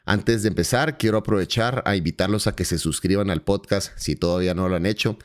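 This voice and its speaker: Deep-voiced man hosting a podcast. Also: brisk. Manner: friendly, wordy, repeats words. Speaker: podcast host. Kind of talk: podcast interview.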